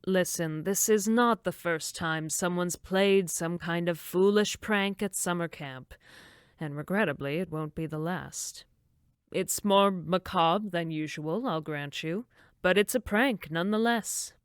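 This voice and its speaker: A woman acts as a fussy female camp director in a horror audio drama. Her voice is low-set and very clean, recorded dry and close, and she speaks in prim, formal phrases that brush concerns aside. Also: unhurried, clean tone, dry recording, close to an everyday voice. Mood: dismissive.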